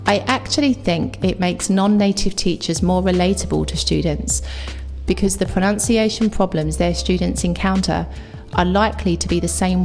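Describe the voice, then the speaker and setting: A woman with a London accent, an English teacher delivering a scripted, reflective video essay with clear opinions. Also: on the low side, a little mellow. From England.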